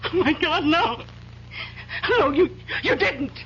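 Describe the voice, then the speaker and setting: An actress playing a frightened, pleading wife with breathless, melodramatic delivery in a 1970s radio drama. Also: female voice, high voice, expressive, slow, sharp tone, heavily acted. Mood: horrified. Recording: dull old radio recording, faint noise.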